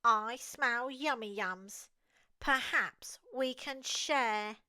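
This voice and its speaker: A woman's voice: a little high, very slow, pushed and bright, clean tone, dry recording, voice pushed down, heavily acted. A woman with an English accent voicing a friendly, sociable badger in a children's storybook, genial and inviting. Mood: genial, eager. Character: friendly, sociable badger bringing snacks to share.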